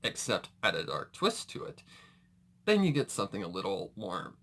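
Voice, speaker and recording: A man's voice: low voice, wildly swooping pitch, normal speed, bright, forceful tone. A man giving casual reaction commentary as a video host, rambling and correcting himself as he goes, with mild slangy exclamations.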